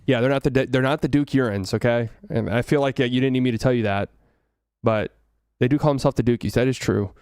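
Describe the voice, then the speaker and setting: A man's voice: mid-range voice, fast talker, a little mellow, clean tone, no echo, studio quality. A man from Texas with a light Texan accent, hosting a casual solo sports talk show, rambling, joking and thinking aloud. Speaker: podcast host.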